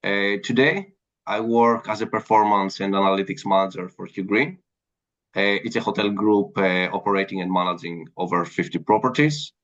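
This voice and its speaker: A man's voice: on the low side, normal speed, a bit bright. A man from Greece with a Greek accent, speaking earnestly as an interview guest about his career. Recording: dull lo-fi sound.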